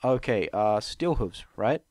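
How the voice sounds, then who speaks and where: A man's voice: fairly low pitch, animated, takes it slowly, darkish tone, no echo, clean studio sound. A man voicing a young female pony adventurer in an audiobook, earnest, casual and a little awkward. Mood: hesitant. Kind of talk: audiobook character voice.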